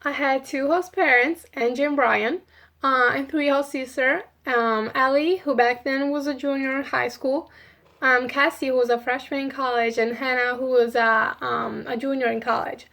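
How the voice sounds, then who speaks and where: A woman's voice: high voice, steady tone, moderate pace, gravelly, sharp tone. An eighteen-year-old Italian woman with an Italian accent, talking earnestly about her exchange year with hesitant fillers. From Italy. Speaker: former exchange student. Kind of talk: casual vlog chat.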